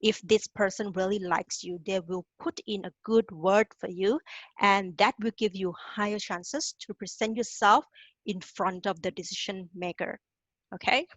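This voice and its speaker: A woman's voice: mid-range voice, steady tone, normal speed, no room sound, rough recording. A woman from Thailand coaches students through a career webinar in a mid-range voice. She speaks directly and encouragingly and keeps checking in with her listeners. The sound is dry and close, with no room around it. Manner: encouraging, direct, tags lines with "okay?". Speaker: career advisor presenting a webinar.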